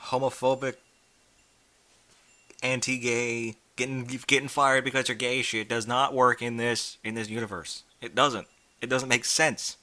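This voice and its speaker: A man's voice: medium pitch, level tone, unhurried, bright, forceful tone. A man reading fan fiction aloud in an informal, blunt way, with incredulous asides in casual speech. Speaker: fan fiction reader and commentator.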